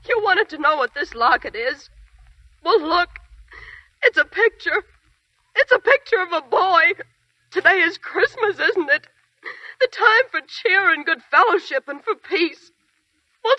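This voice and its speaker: A young army nurse hardened by grief, cold and clipped, sharp on every word. Her voice sits very high and bright. It is a dry, muffled old radio broadcast. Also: no echo, full character voice. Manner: cold, clipped and hostile, breaking into sobs. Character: bitter grieving young female army nurse. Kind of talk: 1940s radio acting.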